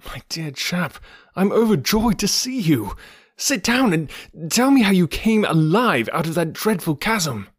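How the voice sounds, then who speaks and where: A man voicing an excitable, warm-hearted Victorian gentleman, effusive and loyal, in an audiobook story. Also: high voice, a little slow, husky, pitched above the performer's own voice, exaggerated voice. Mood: overjoyed.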